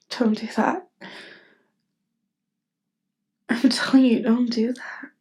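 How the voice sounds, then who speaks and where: A woman acting a tender, lovestruck best friend in an intimate ASMR roleplay, speaking in a halting, earnest, vulnerable way. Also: on the high side, darkish tone. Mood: breathless, restrained. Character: tender, lovestruck female best friend confessing her feelings. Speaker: voice actress.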